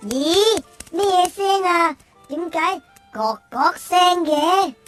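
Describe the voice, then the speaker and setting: A woman's voice: very high voice, lively intonation, drawn-out words, fairly bright tone, soft background music, full character voice. A woman voicing a small, cute, curious childlike companion in a children's radio drama, speaking in an inquisitive way.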